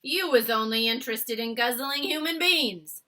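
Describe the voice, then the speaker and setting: A woman voicing a gentle, anxious male giant from a children's storybook, with timid, quaintly ungrammatical speech. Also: on the high side, normal speed, pitched above the performer's own voice. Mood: defensive.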